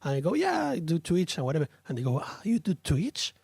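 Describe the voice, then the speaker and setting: Tired Argentinian man, hesitant and halting. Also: fairly high pitch, huge pitch swings, creaky, a little mellow, slightly rough, no echo. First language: Spanish. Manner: hesitant, searching for words, many restarts. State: tired from little sleep. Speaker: guest, musician and streamer. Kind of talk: casual interview chat.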